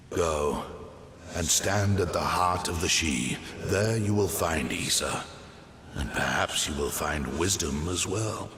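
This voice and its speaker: An actor playing an ancient, immortal tree spirit in a fantasy game, solemn, stately and oracular. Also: male voice, fairly bright tone, full character voice. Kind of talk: fantasy video game dialogue. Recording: long echoing reverb, faint rumble.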